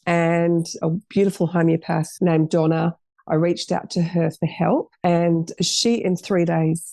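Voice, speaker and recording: A middle-aged woman with a dark, gravelly voice speaks on a podcast as a guest. She tells her story earnestly and wanders, breaking off and restarting sentences. The sound is dry, with no room echo. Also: lowish voice, fairly even intonation, unhurried. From Australia. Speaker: guest, cafe owner. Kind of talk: casual interview storytelling.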